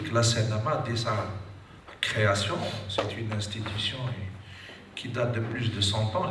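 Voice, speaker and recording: A man giving a formal speech at a seminar, often hedging with a stock filler phrase. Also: medium pitch. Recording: echoey room, distant mic, background noise.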